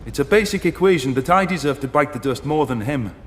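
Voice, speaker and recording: Male story narrator, slightly higher-pitched voice. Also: lively intonation, fairly quick. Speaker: audiobook narrator. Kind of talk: dramatic first-person scripted story narration.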